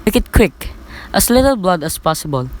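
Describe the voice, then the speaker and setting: A man's voice: sits high, big pitch swings, normal speed, rough recording. Young man as cold, terse crime boss. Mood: cold, instructing. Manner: terse, commanding. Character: cold, businesslike criminal boss arranging a murder. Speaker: student actor. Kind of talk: amateur radio play acting. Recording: faint background noise.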